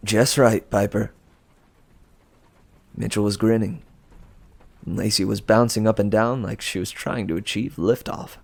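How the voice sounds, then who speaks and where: A man reading a storybook aloud as expressive narration, with a gravelly voice. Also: lowish voice, moderate pace, no room sound. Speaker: storybook reader.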